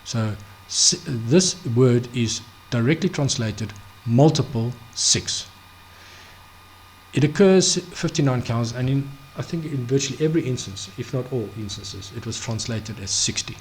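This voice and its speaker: A man teaching a Bible study off the cuff, halting, full of restarts, fillers and "okay?" check-ins. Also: medium pitch, animated, a little hiss or hum. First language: not Hebrew. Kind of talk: unscripted screen-share Bible study.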